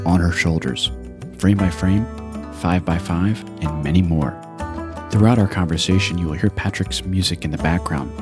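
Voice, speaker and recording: A man with a very low, deep voice hosts a podcast. He speaks deliberately and reads a scripted intro, and steady music plays clearly beneath his words. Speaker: podcast host. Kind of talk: scripted intro and interview questions. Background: background music.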